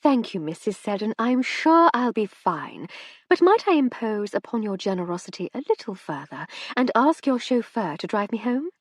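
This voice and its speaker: A woman's voice: a little high, sing-song, moderate pace, no room sound, voice pushed up. A woman reading aloud voices a composed aristocratic lady who speaks politely and with measure. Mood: gracious.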